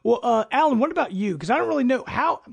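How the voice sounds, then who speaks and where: A man's voice: extremely high pitch, lively intonation, quick pace, vocal fry, husky. A man hosting a casual podcast in an agreeable, chatty way. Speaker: podcast host.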